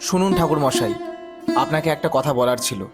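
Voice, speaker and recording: Man as a scheming high-voiced cartoon fake priest. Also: brisk, close to an everyday voice. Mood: aggrieved. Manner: theatrical, scheming, self-important. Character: cunning, boastful barber's son posing as a Brahmin priest. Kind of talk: cartoon moral-story voice acting. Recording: music bed behind the voice.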